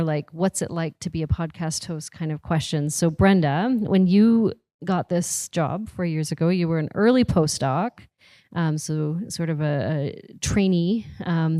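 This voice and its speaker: Mellow low-voiced woman host. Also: measured pace, no echo. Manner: chatty, stacks questions with fillers. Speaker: podcast host and moderator. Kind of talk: live panel interview.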